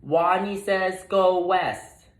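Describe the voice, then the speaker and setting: A man reading a storybook puts on a timid little boy rodent. The voice is raised high and drawn out slowly, with every R turned into a W. The room echoes around it. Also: fairly even intonation. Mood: bolder, calling out. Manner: says W in place of R. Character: timid little male rodent who mispronounces R as W. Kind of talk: children's storybook read-aloud.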